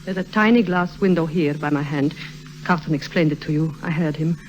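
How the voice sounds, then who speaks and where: A woman's voice: deep voice, brisk, dull audio, close to an everyday voice. A woman playing a grave, defiant young daughter in a dramatic 1950s radio melodrama. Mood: grave, resolute. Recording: noisy vintage radio recording.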